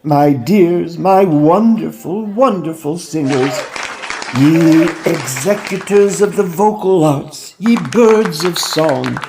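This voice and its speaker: A flamboyant impresario of a Victorian opera company in a comic audio play. His speech is grandiose and unhurried, swinging widely in pitch, and easily turns flustered. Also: creaky, noisy background, heavily acted. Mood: grand, delighted. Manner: florid, grandiose, theatrical. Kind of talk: period comedy audio drama acting.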